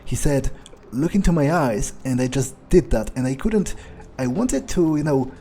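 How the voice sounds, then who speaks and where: A man role-playing a cynical vampire underling in a video game playthrough, with a husky voice and a halting, muttering manner. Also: medium pitch, lively intonation, dark tone, a little hiss or hum, lightly acted. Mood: bitter, musing. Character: cynical, foul-mouthed, hesitant male vampire underling. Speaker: player role-playing his game character. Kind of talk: improvised in-character game playthrough.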